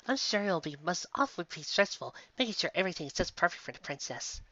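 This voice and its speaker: A sweet, doting mare who keeps a sweets shop, as a woman puts her on, her bright voice swooping up high and down soft. She calls everyone dear in a kind, motherly storybook manner. The recording sounds slightly muffled and dry. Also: big pitch swings, quick pace, no echo, heavily acted. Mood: kindly. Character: gentle motherly mare candy-shop owner.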